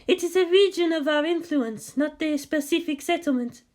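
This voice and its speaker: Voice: high-pitched, normal speed, darkish tone, pitched above the performer's own voice, lightly acted. A performer voicing an earnest, easily flustered woman mystic, formal and defensive, in an audiobook story. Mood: explaining earnestly.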